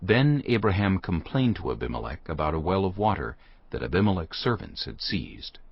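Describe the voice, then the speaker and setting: A man narrating a dramatized Bible as a scripted story, solemn and clearly phrased. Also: low-pitched, big pitch swings, normal speed, muffled recording.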